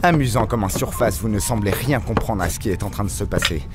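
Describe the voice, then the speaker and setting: A man's voice: fairly low pitch, quick pace, lightly acted. An actor playing a cryptic, erudite male recluse in an audio drama, theatrical and condescending, over prominent music. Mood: scornful. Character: cryptic, erudite male deep-sea recluse, scornful of surface dwellers. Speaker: reclusive expert character. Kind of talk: French audio fiction acting.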